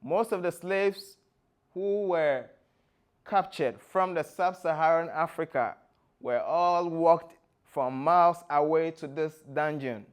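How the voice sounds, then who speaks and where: Ghanaian guy giving a talk, kinda high voice for a man, real slow and clear, explains stuff step by step. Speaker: guest speaker, former tour guide. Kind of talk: presentation to an audience with slides.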